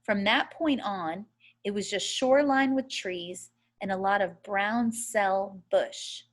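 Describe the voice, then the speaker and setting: A woman reads a suspenseful children's novel aloud at a slow, deliberate pace. Her pitch is middling for a woman, and she tells the first-person story expressively, giving each phrase room.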